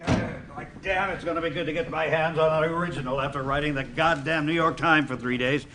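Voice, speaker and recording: An eager, hearty reporter in an audio drama. The voice is bright and fairly high, over slight background noise. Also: male voice, steady tone, fairly quick. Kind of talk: naturalistic audio drama dialogue.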